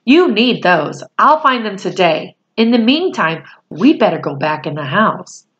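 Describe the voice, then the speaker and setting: A woman voicing a caring, earnest schoolboy from a storybook, explaining things plainly and gently. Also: medium pitch, very animated, a little slow, lo-fi sound. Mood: reassuring.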